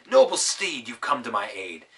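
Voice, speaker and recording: Brief: eager storybook knight, loud and declaiming, as a man puts him on. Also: mid-range voice, sing-song, normal speed, recorded from a distance, pitched above the performer's own voice. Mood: rousing. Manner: heroic, declaiming. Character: young male knight hero, eager.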